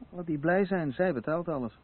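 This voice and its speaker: A man's voice: fairly high pitch, moderate pace, breathy edge. An actor playing a bitter, sardonic male neighbour in a naturalistic radio play, speaking with scornful emphasis. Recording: tinny narrow-band old radio recording, noisy.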